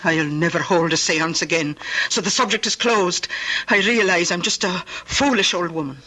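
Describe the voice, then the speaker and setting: An actress playing a fond, lonely old Scottish aunt in a radio drama, speaking in a wistful, folksy storytelling way. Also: female voice, on the low side, moderate pace, bright, forceful tone, rough recording. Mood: wistful, regretful. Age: older.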